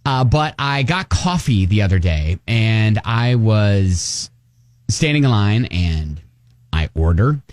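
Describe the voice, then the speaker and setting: A man from Ohio co-hosting a radio show, telling a story in a casual on-air chat with a slightly nasal voice and lots of quick restarts. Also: on the low side, very animated, dry recording, studio quality.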